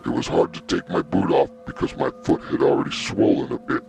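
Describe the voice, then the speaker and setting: A man narrating a first-person horror story in a theatrical, suspenseful manner, with a gravelly voice. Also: low voice, expressive, fairly quick, a little mellow, soft background music. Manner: theatrical, suspenseful, with acted exclamations and gasps.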